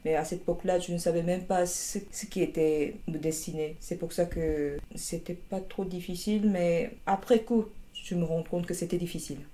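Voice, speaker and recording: Young Korean woman, Korean accent, deep mellow tone, hesitant and wry interviewee. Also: fairly even intonation, moderate pace, hardly any pauses. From South Korea. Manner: hesitant, many fillers and restarts, wry humor. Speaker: interviewee, master's student. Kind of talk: interview answers.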